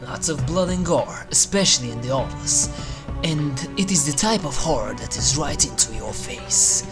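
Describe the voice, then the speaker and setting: Theatrical narrator over eerie music. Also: medium pitch. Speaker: video host, reviewer. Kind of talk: scripted list review narration. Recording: music under the voice. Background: eerie game soundtrack music.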